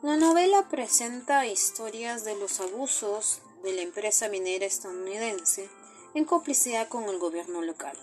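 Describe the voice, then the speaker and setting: A woman's voice: medium pitch, expressive, moderate pace, a little mellow, soft background music. A woman reading a scripted summary as narrator.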